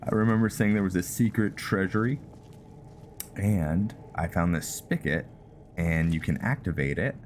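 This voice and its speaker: Male gaming host, deep and unhurried, casual and halting, thinking aloud over noticeable game ambience. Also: noisy background. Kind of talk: casual game roleplay commentary.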